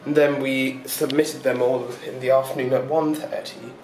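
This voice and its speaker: A man's voice: mid-range voice, little pitch movement, moderate pace, echoey room, far from the mic, crowd noise, low-quality recording. A man talking casually to his vlog audience, rambling and chatty with lots of ums. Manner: rambling, chatty, with many fillers and short laughs. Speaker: vlogger. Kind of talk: casual daily vlog chat.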